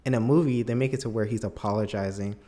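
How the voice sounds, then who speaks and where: A man's voice: fairly low pitch, fairly even intonation, quick pace, warm tone. A man giving a casual, opinionated film review as a video commentator, with an emphatic, incredulous, conversational manner.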